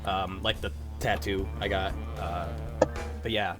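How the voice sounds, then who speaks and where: A young adult man in casual conversation, animated and often halting mid-thought. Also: deep voice. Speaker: member of an amateur script-reading group. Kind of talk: casual group chat. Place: at home on a group video call. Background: music.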